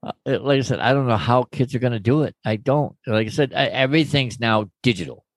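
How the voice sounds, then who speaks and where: A man's voice: medium pitch, fairly quick, no echo. A man in his fifties co-hosting a casual podcast chat, joking and rambling off on tangents. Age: 50s. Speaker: podcast co-host.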